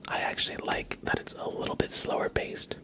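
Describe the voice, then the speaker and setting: A young man whispering casual, rambling chat as the host of an ASMR livestream, often hesitating. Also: a little fast.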